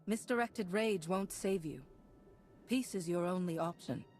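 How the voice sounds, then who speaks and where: An actress playing a stern, principled Jedi knight in a video game, speaking in a measured, earnest and commanding way. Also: female voice, on the low side, darkish tone, slight background noise, lightly acted.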